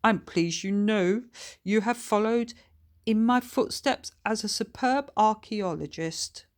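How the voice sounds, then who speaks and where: A female reader acts out a gentleman ghost, somebody's long-departed grandfather in a storybook. He is jovial and jaunty, courteous in an old-fashioned way, with a lifted pitch. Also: slow pace, close to an everyday voice. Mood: proud, genial.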